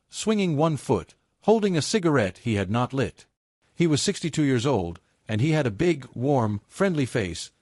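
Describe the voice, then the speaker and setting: A man's voice: mid-range voice, darkish tone, no room sound, clean studio sound. A man reading a dramatic story as scripted audiobook narration.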